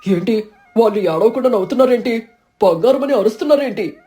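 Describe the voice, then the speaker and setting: A woman's voice: fairly high pitch, lively intonation, a little fast, warm tone, soft background music, close to an everyday voice. A woman voicing a greedy, jealous village man who wails over his own misfortune. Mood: baffled. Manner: wailing, self-pitying.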